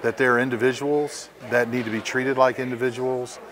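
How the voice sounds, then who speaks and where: A man in his fifties, a police captain, giving halting, measured interview remarks. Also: mid-range voice, little pitch movement, a bit bright. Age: 50s. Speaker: police captain, crisis team coordinator.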